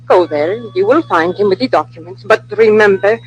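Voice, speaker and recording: Low, furtive woman informant. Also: normal speed, husky, soft background music, rough recording. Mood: furtive, fearful. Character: furtive, frightened female informant named Mira. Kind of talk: old-time radio acting. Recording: vintage radio drama recording.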